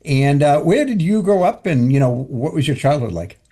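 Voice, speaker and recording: A man's voice: on the high side, lively intonation, moderate pace, warm tone. A man hosting an interview podcast for finance executives, talking in a chatty way that often stumbles and restarts. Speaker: podcast host.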